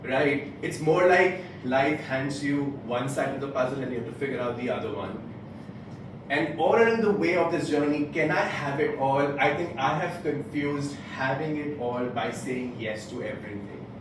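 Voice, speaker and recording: Echoey, distant young man giving a speech. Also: mid-range voice. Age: late twenties. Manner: deliberate, rhetorical, with pauses between short phrases. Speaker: club speaker giving a prepared speech. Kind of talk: motivational public speech. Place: indoor club meeting room, picked up from a distance. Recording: very echoey room, distant mic, background noise.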